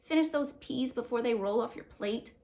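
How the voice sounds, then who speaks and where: A woman's voice: fairly high pitch, moderate pace, vocal fry, darkish tone. A woman voicing a helpful, upbeat father in a children's book read-aloud, reassuring and agreeable.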